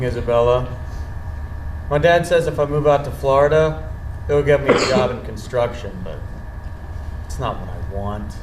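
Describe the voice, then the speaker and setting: An actor as an earnest young working-class boyfriend with wounded pride, in a live stage play. He is plain-spoken and intense, in a medium-pitched voice. The sound is roomy and picked up from far off, with faint noise. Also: natural voice. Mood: pleading, devoted. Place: indoor theatre stage, far from microphone. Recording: distant, echoey theatre recording with faint noise.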